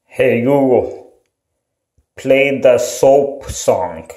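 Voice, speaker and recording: A man in a small bathroom, slowly and flatly giving voice commands. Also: medium pitch. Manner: slow, flat, deliberate commands. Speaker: video creator. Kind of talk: repeated voice commands. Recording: echoey bathroom.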